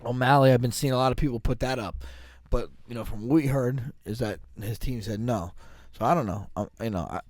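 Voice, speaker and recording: A man's voice: mid-range voice, brisk, no echo. A man about to turn forty, a podcast co-host and fighter, chatting casually with lots of profanity and restarts. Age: 30s. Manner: profane, rambling, restarts often. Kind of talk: casual chat.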